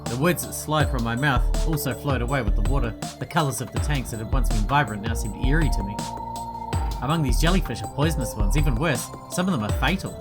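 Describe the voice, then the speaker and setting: A male gaming host reads a horror visual novel aloud, giving the narration a dramatic delivery. His voice sits at a middling pitch. Loud game music plays under him the whole time. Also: fast talker. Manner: dramatic reading of text with quieter joking asides. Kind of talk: let's play, reading visual novel text aloud.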